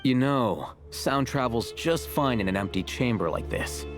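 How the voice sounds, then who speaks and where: An actor voicing an aloof young male scholar in a video game, with dry, wordy, deadpan sarcasm. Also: medium pitch, measured pace. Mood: dry, sarcastic. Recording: music behind the voice.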